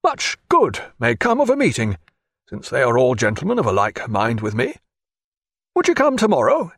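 A man voicing a fretful, excitable middle-aged merchant who pleads and grumbles in a raised, fussy voice. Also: mid-range voice, moderate pace, dry recording, clean studio sound, exaggerated voice. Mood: earnest. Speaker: former loyalist and merchant.

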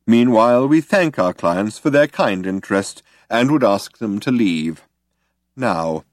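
A man's voice: medium pitch, big pitch swings, normal speed, no echo, studio quality, voice pushed up, natural voice. A man voicing an ancient recorded announcer, a formally polite old man with an undertone of menace. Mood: politely menacing. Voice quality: hollow, reedy, insubstantial. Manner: formal, over-polite, coldly official.

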